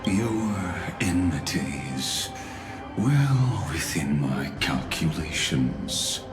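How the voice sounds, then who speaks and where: A man voicing a cold, courteous villain in a video game, speaking in ornate, formal and deliberate phrases. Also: very deep voice, sing-song, exaggerated voice. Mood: coolly courteous. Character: cold, courteous, grandiose male villain, former enemy turned uneasy ally. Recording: echoey, with music.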